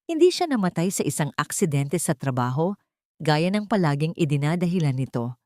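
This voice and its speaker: Lowish-voiced woman narrating melodrama. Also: sing-song, a little fast, darkish tone, dry recording, studio quality. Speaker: story narrator. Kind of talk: scripted dramatic narration of a love story.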